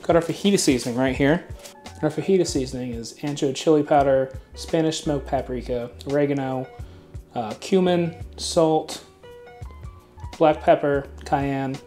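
A man's voice: on the high side, normal speed, faint music behind. Laid-back man teaching a home recipe. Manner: relaxed and chatty, many fillers, mild swearing. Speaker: cooking channel host. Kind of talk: casual cooking tutorial. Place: home kitchen, indoors.

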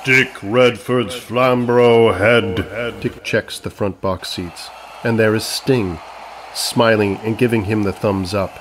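Lower-pitched male narrator. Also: fairly even intonation, takes it slowly, fairly bright tone. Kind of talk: scripted comedy narration.

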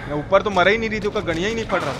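Man, eastern Indian Hindi accent, high-pitched, excitable game streamer, slangy, noisy game background. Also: very fast. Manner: excitable, crude slangy exclamations. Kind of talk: casual gameplay commentary. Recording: noisy game sound behind voice. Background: horror game sound.

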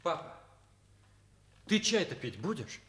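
A man's voice: on the high side, very animated, takes it slowly, a bit bright, exaggerated voice. An actor in a Soviet radio play playing a loyal, frank teenage schoolboy who argues insistently and reproachfully with his father. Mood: concerned. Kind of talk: Soviet radio drama acting.

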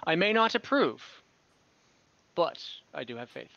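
A man's voice: a little high, very animated, unhurried, creaky, sharp tone, no echo, lo-fi sound, pitched above the performer's own voice, full character voice. A man playing a wealthy, fussy father of a young cleric, in a tabletop game over a video call. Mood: paternal. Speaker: game master.

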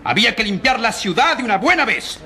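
A man's voice: very high voice, big pitch swings, a little fast, sharp tone, heavily acted. An actor in a radio drama playing an opinionated, easily disgusted man in the street. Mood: approving, disgusted. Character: opinionated, disgusted male passer-by. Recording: street crowd behind voice.

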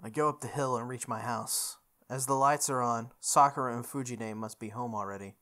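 A woman's voice: extremely low pitch, moderate pace, a bit bright. A woman reading visual novel narration aloud, with casual, chatty asides.

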